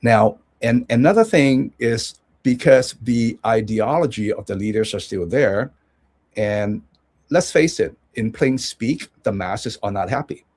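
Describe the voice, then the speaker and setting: A man's voice: mid-range voice, animated, unhurried, darkish tone. A man from Hong Kong, a guest analyst giving rambling conversational commentary with many restarts and check-ins.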